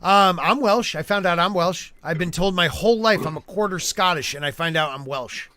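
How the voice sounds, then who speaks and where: Bright-voiced joking podcast host. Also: male voice, sits high, normal speed. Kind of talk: casual chat reading chat names.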